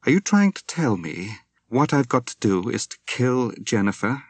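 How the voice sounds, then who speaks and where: A man voicing a young wife and mother who is anxious and protective, her speech pleading and rising to sharp anger. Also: mid-range voice, sing-song, moderate pace, dry recording, pitched above the performer's own voice. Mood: furious.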